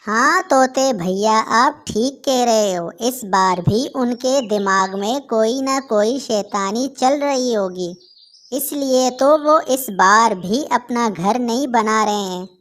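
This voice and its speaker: High-voiced sensible little cartoon bird played by a woman. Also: slow pace, a bit bright. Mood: wary.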